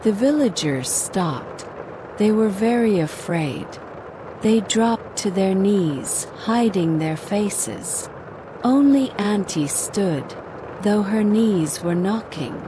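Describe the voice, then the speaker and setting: Woman folktale narrator: slow, dramatic, scripted storytelling with noticeable background ambience. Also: mid-range voice, lively intonation, noisy background.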